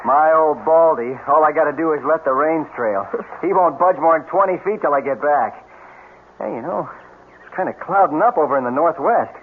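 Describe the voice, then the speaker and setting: An American actor playing a practical, folksy young country-bred cave explorer in a radio drama. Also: male voice, on the high side, normal speed, natural voice. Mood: easygoing, folksy. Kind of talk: 1970s radio drama acting. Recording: tinny, band-limited old radio broadcast, faint noise.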